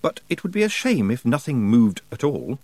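A man's voice: mid-range voice, sing-song, moderate pace, darkish tone, dry recording. A man voicing a clever, level-headed young witch girl who speaks carefully.

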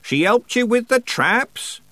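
Man voicing a brisk, cheeky old witch woman, pitched up. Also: lively intonation, sharp tone, dry recording, exaggerated voice. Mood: scolding. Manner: folksy, colloquial, teasing and scolding. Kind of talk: audiobook character dialogue.

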